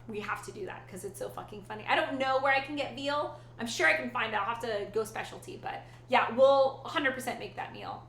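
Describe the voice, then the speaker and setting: A woman's voice: fairly high pitch, pushed and bright, echoey room, a little hiss or hum. A woman streamer chatting casually with her viewers, rambling and swearing freely. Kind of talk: casual chat with live chat.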